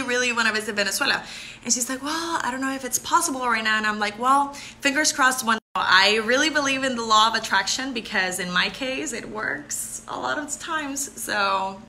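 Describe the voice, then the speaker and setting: A woman's voice: a little high, bright, forceful tone, a little hiss or hum. Bubbly Puerto Rican woman on a phone livestream. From Puerto Rico. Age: 20s. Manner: bubbly, laughs often, switches between English and Spanish. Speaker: pageant contestant hosting a livestream. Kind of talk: live Q&A reading viewer comments. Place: bright room indoors. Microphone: phone camera held close.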